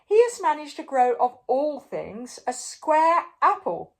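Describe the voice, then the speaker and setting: A grand, excitable king from a children's storybook, acted out by a woman reader. He proclaims pompously in a raised, very animated voice, stretching his words out for ceremony. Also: high-pitched, measured pace, voice pushed up, full character voice. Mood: announcing.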